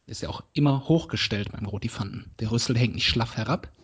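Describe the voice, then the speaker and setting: A man with a husky, breathy voice, chatting casually and telling jokey stories. His pitch is mid-range and his delivery is relaxed and playful. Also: fairly quick. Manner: joking, storytelling. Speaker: podcast co-host. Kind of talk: casual chat.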